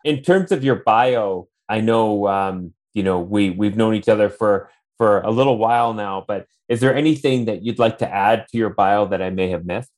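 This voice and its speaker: A man with a fairly low voice hosts an interview podcast. He talks earnestly and effusively, with frequent fillers and small restarts as he introduces and questions his guest. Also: normal speed, few pauses, darkish tone. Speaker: podcast host.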